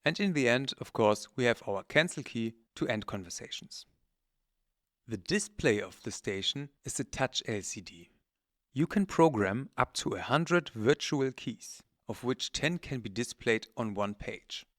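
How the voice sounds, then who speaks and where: A man's voice: medium pitch, lively intonation, measured pace, fairly bright tone, dry recording, studio quality. A man presenting technical product training in a methodical, matter-of-fact, instructional way. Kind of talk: instructional presentation, scripted narration.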